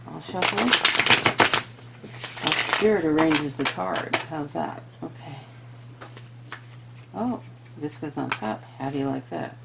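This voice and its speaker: A woman's voice: lowish voice, gravelly, heavy background noise. A woman hosting a tarot reading, earnestly addressing viewers, moving between reading aloud and informal asides. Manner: earnest, talks to viewers and to spirit. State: voice giving out, throat-clearing. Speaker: tarot reader and host.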